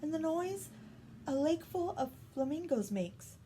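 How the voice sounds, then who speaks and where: A woman voicing a quiet, calmly determined young princess in a soft, hushed storybook voice. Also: measured pace, slight background noise. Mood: calm, resolute. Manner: soft, hushed, higher than the narration.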